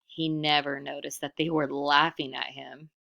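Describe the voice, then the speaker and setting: A woman reading a children's picture book aloud expressively, pausing for casual comments and questions. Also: low-pitched, level tone, creaky, pushed and bright. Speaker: storybook reader.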